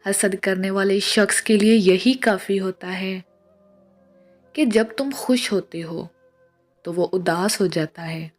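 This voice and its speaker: A woman reads scripted tips in a measured, instructional way, as a narrator of short pieces of advice. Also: medium pitch. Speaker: narrator of household and health tips. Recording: voice-over with music behind. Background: music.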